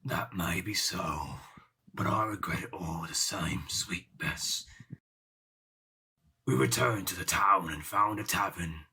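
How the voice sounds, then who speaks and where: Aging pirate captain: very low voice with a bright, forceful edge, grave theatrical storyteller, boastful, archaic seafaring talk. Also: male voice, takes it slowly, breathy edge, exaggerated voice. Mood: regretful. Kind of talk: audio drama storytelling.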